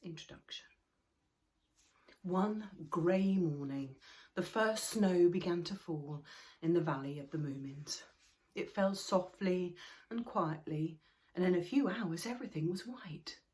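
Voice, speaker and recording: A woman narrating a children's story expressively and deliberately, sometimes dropping into a hush. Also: on the low side. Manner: expressive, deliberate, with hushed passages.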